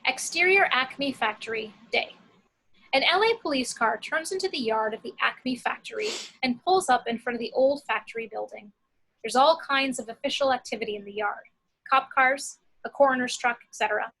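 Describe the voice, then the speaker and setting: Bright, high-voiced woman narrating. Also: low-quality recording. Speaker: narrator reading action descriptions. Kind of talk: scripted screenplay narration.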